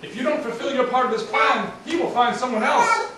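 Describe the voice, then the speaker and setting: Guy doing solemn pleading uncle in a puppet show, high voice, mic far off in echoey room. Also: big pitch swings, brisk, pushed and bright, heavily acted. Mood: urgent, solemn. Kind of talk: amateur puppet show acting. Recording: echoey room, distant mic, faint noise.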